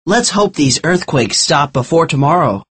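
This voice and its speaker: Reader doing an earnest teen boy, slightly husky, storybook read-aloud. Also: low-pitched, animated, normal speed, heavily acted. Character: friendly teenage boy Bill.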